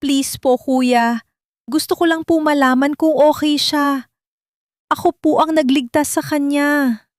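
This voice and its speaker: Woman doing a desperate young mom begging politely, audio drama, voice raised high, really dry recording. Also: fairly even intonation, unhurried, long pauses, no room sound. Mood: pleading. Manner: pleading, polite and humble. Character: desperate, pleading poor mother wrongly accused.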